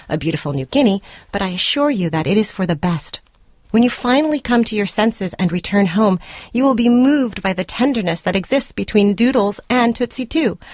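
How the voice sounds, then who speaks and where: A woman's voice: mid-range voice, no room sound, studio quality, lightly acted. A woman playing a controlling, self-righteous spurned girlfriend, reading her formal letter in a prim, clinical and condescending manner, as a radio short story. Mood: falsely soothing. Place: radio studio.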